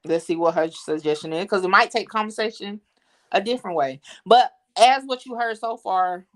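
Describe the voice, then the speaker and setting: A woman hosting a casual live-stream chat, relaxed and steering the talk with lots of fillers. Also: medium pitch, normal speed. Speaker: host.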